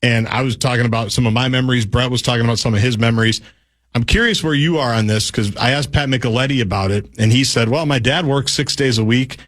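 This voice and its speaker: A man's voice: medium pitch, quick pace, a bit bright. A male radio host in casual conversation, asking his guest questions with long, chatty setups.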